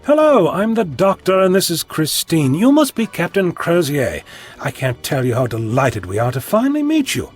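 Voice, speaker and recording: An actor playing an eccentric, polite alien time traveller in an audio drama, babbling eagerly and insistently. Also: male voice, fairly high pitch, huge pitch swings, normal speed, breathy edge, soft background music, heavily acted. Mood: excited, cheerful.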